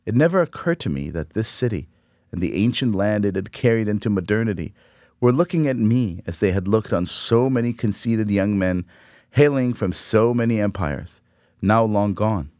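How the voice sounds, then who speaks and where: A middle-aged man, Hebrew speaker, reading a personal essay aloud in a measured, reflective, wry way. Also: fairly low pitch, animated, a little mellow, no echo, clean studio sound. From Israel.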